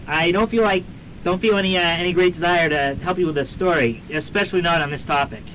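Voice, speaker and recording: Man playing a stammering, high-voiced professor. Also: fairly bright tone, natural voice. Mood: flustered, reluctant. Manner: stammering, halting, formal. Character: flustered, fussy college professor and amateur demonologist. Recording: faintly noisy student radio recording, rumble.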